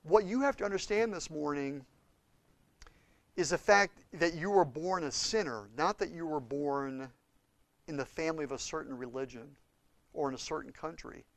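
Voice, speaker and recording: A man preaching a sermon with impassioned swings between loud and hushed delivery. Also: a little high, normal speed, vocal fry, dry recording. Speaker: pastor.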